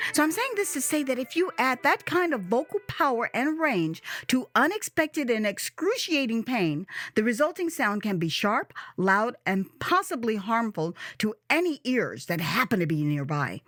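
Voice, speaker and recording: A woman reading her own memoir as dramatized storytelling, with a theatrical, expressive and emphatic delivery. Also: on the high side, moderate pace, no room sound, faint music behind. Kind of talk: dramatized first-person storytelling.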